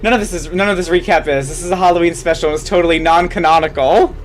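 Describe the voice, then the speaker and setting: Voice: mid-range voice, fairly even intonation, fast talker, rough recording. A host reading a comedic story aloud theatrically, with asides and self-corrections. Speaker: fan fiction reader and host. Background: music.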